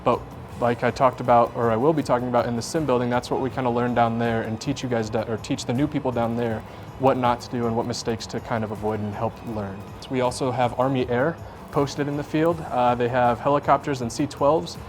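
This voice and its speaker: A man's voice: mid-range voice, fairly even intonation, a little fast, few pauses. Young American man explaining, music behind. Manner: plain-spoken, conversational, explanatory. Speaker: air traffic controller giving a tour. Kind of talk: informal explanatory tour talk.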